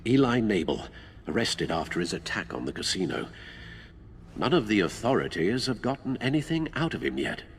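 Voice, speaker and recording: An actor playing a loyal older butler in a video game, refined, formal and concerned. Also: male voice, fairly low pitch, very animated, normal speed, gravelly, a little hiss or hum. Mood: concerned, advisory.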